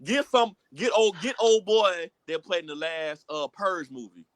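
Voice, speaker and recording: A man's voice: sits high, animated, measured pace, no room sound. An American man co-hosting a podcast, talking casually in an emphatic, slangy way and repeating himself. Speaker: podcast co-host. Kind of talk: casual banter about comic book films.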